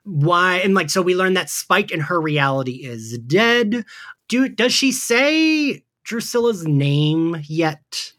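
A man's voice: high-pitched, expressive, slow, pushed and bright. Chatty, rambling male podcast co-host. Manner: chatty, rambling, heavy use of "like". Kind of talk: casual pop-culture fan chat.

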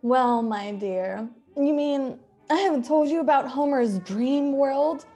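A woman's voice: sits high, slow, dark tone, soft background music. A woman playing a domineering wife who mocks her husband with theatrical, drawling sarcasm in a radio play. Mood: mocking.

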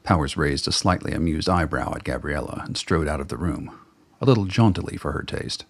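Gravelly, very deep-voiced male narrator, husky, measured. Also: animated. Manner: measured, storytelling. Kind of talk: dramatic audio-drama narration.

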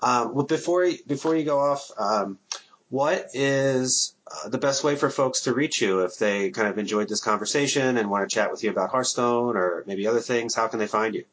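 A man hosting a podcast from home, talking in a relaxed, hesitant way with many ums. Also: mid-range voice, moderate pace, lo-fi sound. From Minnesota. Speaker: podcast host. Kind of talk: casual interview.

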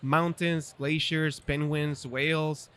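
A man's voice: fairly high pitch, level tone, takes it slowly, a bit bright, smooth. A man from Chile with a Chilean Spanish accent, a native Spanish speaker chatting as a podcast guest, laughing often and restarting phrases. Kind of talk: casual interview chat.

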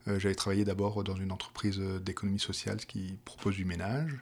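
A man's voice: sits low, completely flat delivery, quick pace. A middle-aged man from France with a Parisian accent, explaining his work in an interview, hesitant with many restarts. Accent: Parisian French. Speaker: project manager for a provincial health plan.

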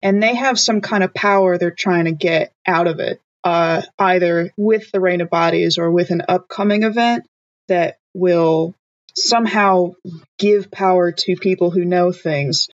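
A woman's voice: fairly low pitch, steady tone, measured pace, no echo, lo-fi sound. A woman playing a tabletop roleplaying game, talking casually with her fellow players.

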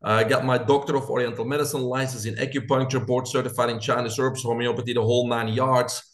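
A man's voice: mid-range voice, flat delivery, fast talker. A middle-aged man from Belgium with a Belgian accent, a coach who talks through his life story in an earnest, motivational way as a podcast guest. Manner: earnest, motivational, speaks in lists. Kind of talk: interview storytelling.

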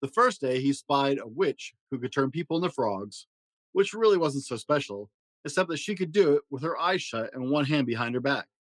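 A man reading a children's fairy tale aloud in a gentle storytelling manner. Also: lively intonation, normal speed, no room sound, rough recording. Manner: gentle storytelling with varied pitch for emphasis. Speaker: storybook reader.

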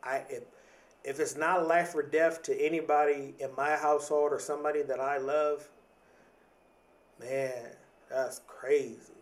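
A man's voice: on the high side, steady tone, a little slow, distant mic. A man in his thirties talking in a reflective, casual podcast monologue, often repeating and restarting words, with casual slang. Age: 30s.

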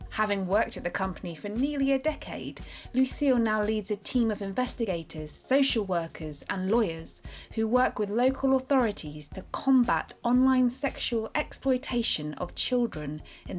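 A woman giving a measured, formal scripted narration that introduces a guest. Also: a little high.

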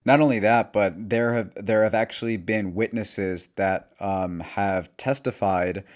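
A man from Venezuela hosting an interview podcast, talking in quick, earnest bursts. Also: fairly low pitch, steady tone. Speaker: podcast host.

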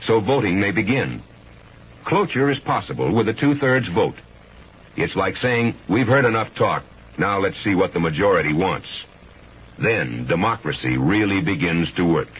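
Husky low-pitched man, scripted narration. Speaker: announcer. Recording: dull old radio broadcast.

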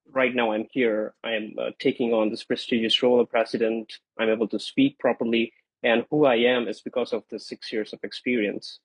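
A man in his twenties whose first language is Gujarati, a podcast guest giving earnest, grateful answers with frequent pauses and repeats. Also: medium pitch, slightly rough, dry recording, poor audio. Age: 20s. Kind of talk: conversational interview answers.

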